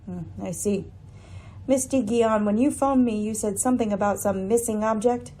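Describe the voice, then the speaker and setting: Woman acting lady sleuth, radio play, soft-edged husky tone, poised, formal, shrewd, dryly pointed. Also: mid-range voice, moderate pace, close to an everyday voice. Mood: cool, probing. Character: shrewd, composed lady detective, dryly ironic. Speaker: actor in an amateur radio play. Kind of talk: radio mystery drama acting.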